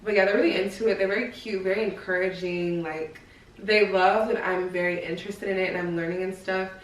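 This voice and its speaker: A woman's voice: medium pitch, steady tone, fairly quick, recorded from a distance. Laid-back woman, teasing, echoey room. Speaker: podcast co-host. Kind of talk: casual chat.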